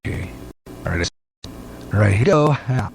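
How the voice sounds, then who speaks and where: A man hosting an internet radio show in a casual, folksy way, rambling and halting at times. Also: fairly low pitch, lively intonation, gravelly, faint music behind. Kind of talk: casual radio host talk and station promos.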